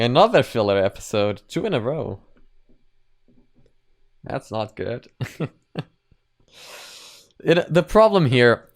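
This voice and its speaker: A man's voice: medium pitch, clear, resonant tone, dry recording. A man giving casual, blunt and sweary reaction commentary as a video host.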